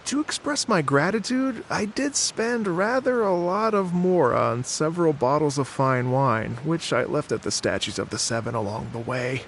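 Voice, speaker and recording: An actor playing an earnest, tired middle-aged bard in a video game, telling a legend in a measured storytelling manner. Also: male voice, on the high side, expressive, noisy background. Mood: sheepish. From Fontaine.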